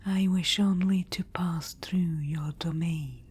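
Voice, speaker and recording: A woman voicing a regal undead witch-queen in an ASMR roleplay, speaking in a soft whisper and calling the listener darling. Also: fairly low pitch, steady tone, takes it slowly, husky, heavily acted. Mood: diplomatic. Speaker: ASMR performer.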